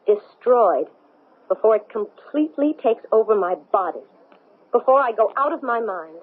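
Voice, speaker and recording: Husky, desperate female astronaut. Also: fairly high pitch, animated, moderate pace. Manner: urgent, emphatic, pleading. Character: desperate, insistent woman astronaut possessed by an alien. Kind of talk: 1970s radio drama acting. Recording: muffled, narrow-band old radio.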